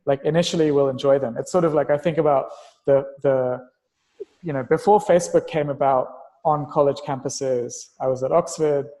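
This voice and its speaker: A man's voice: fairly high pitch, fairly even intonation, normal speed, warm tone, in a large hall. A man speaking as a guest in a casual podcast conversation, explaining ideas in an analytical, abstract way. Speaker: interview guest, tech investor and entrepreneur.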